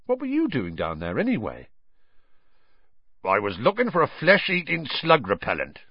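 A man voicing a huge, blunt but protective gamekeeper in a storybook, gruff and growling, with rustic, grumbling speech. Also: medium pitch, huge pitch swings, moderate pace, dry recording, highs cut off, heavily acted. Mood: scolding.

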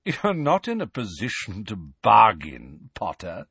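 A man's voice: on the low side, wildly swooping pitch, measured pace, gravelly, a bit bright, slightly rough, dry recording, full character voice. A man voicing a cold, arrogant villain who drawls and sneers. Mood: cold contempt.

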